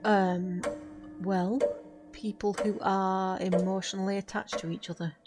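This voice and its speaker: An actress playing a calm, protective Victorian lady witch in an audio drama, polite but firm. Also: medium pitch, a little slow, close to an everyday voice. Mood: gentle, questioning. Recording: loud music under the voice.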